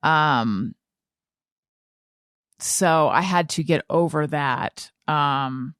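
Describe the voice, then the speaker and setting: American woman podcaster, low-pitched and thoughtful. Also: a bit bright, dry recording, studio quality. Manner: halting, self-correcting, thinking aloud. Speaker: podcast host and interviewer. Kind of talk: casual conversational interview chat.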